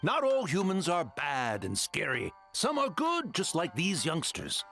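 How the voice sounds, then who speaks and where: Fussy, excitable, high-pitched tanuki elder. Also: male voice, a little slow, soft background music, full character voice. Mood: proud, instructive. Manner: theatrical, blustering, suspicious. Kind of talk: English video game voice acting.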